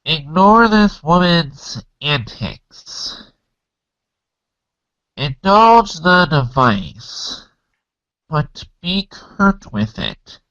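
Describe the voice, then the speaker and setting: A man's voice: fairly high pitch, big pitch swings, very slow, pauses often, a bit bright, highs cut off, exaggerated voice. A man voicing a haughty, exasperated commander who barks stilted, declamatory orders in a dramatic comic reading. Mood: curt, disdainful.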